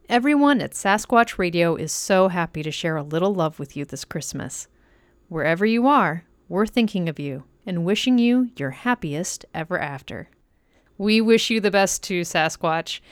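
A woman's voice: on the low side, no echo. A woman podcast host reading a scripted introduction to a show.

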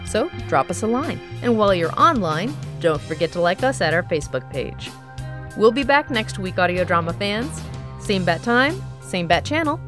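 A woman hosting a radio show, reading scripted closing announcements and sign-off information. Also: a little high. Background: music.

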